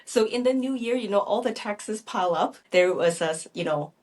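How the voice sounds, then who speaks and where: A middle-aged woman, a native Chinese speaker raised in Canada, answering interview questions conversationally in a halting, self-correcting way. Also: mid-range voice, lively intonation, darkish tone. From Vancouver, Canada. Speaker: real estate agent guest.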